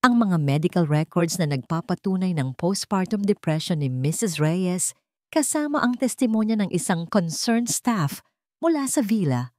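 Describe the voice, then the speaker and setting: A woman voicing a sharp male lawyer in a story, businesslike and deferential to his client. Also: fairly low pitch, lively intonation, moderate pace, no room sound, clean studio sound, lightly acted. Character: smooth, sharp, obedient male defence lawyer.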